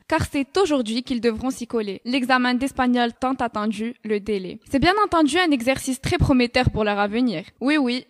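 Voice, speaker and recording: A teenage female student presenting a scripted radio column and asking interview questions in a formal, polite way. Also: a little high.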